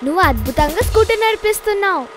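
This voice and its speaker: Eager, very squeaky cartoon child. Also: female voice, animated, very fast, over music. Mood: excited. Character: eager, excitable, affectionate little child.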